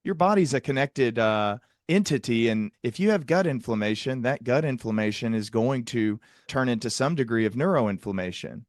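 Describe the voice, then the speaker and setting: A man's voice: mid-range voice, animated, normal speed, hardly any pauses, no echo, studio quality. A man hosting a clinical interview podcast, talking in an earnest, wordy way and often rephrasing mid-thought. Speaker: podcast host and psychiatric clinician interviewing a guest. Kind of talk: conversational interview with long reflective remarks.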